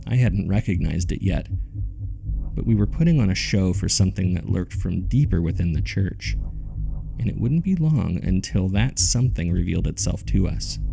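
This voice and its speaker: A man narrating a horror audio drama as a grave witness, in a deliberate, somber storytelling manner. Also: low-pitched, creaky.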